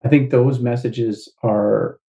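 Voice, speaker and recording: A man speaking as a podcast guest and author, explaining things in a measured, thoughtful way. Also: mid-range voice, fairly even intonation, mellow. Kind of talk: podcast interview.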